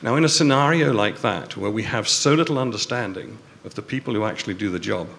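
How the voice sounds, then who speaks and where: A man arguing forcefully on a debate panel, driving points home by repeating them. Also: fairly low pitch, quick pace, gravelly, a little hiss or hum. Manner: forceful, emphatic repetition. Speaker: panelist, restaurateur.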